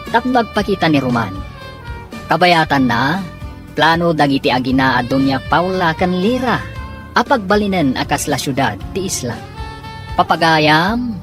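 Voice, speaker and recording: A woman with a low voice introduces a serial radio drama over prominent music. Her scripted narration is theatrical and very animated in pitch.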